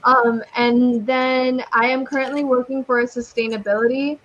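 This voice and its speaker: A woman's voice: fairly high pitch, level tone, normal speed, a bit bright, highs cut off. A young woman from California with a Californian American accent, tutoring English over a video call in a chatty, upbeat way with casual slang. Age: young adult.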